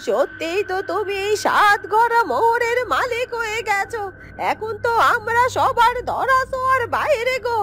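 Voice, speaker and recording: A woman voicing a scheming, greedy younger sister of a witch in a fairy-tale cartoon, fretful and excitable. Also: extremely high pitch, normal speed, background noise. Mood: greedy delight.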